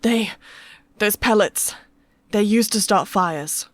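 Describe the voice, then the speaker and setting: A woman's voice: mid-range voice, slow pace, no room sound, close to an everyday voice. A woman voicing a desperate young male captive noble in an audiobook, pleading urgently in a shaky, strained voice. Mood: frightened.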